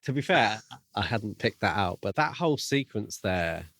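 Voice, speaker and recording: Lowish-voiced man, jokey podcast co-host. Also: normal speed, no echo. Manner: jokey, giggly, animated retelling. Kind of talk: casual book discussion.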